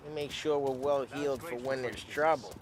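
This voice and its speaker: An actor playing a brash, wisecracking mob enforcer with a cocky, casual manner in a video game. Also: male voice, mid-range voice, steady tone, normal speed, crowd noise, close to an everyday voice. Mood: casual, needling. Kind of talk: cinematic video game acting.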